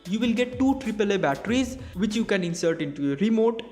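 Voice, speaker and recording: A young man with an Indian English accent, narrating a scripted gadget review in a high, light voice. His delivery is clear, measured and explanatory. Soft music plays faintly underneath. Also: expressive. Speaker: tech reviewer. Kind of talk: scripted product review voice-over.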